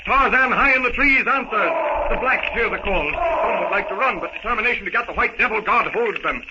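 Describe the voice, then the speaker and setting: A man's voice: high-pitched, a little fast. A man narrating a 1930s radio adventure serial in a theatrical, suspenseful, dramatic manner. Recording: muffled, noisy 1930s radio recording.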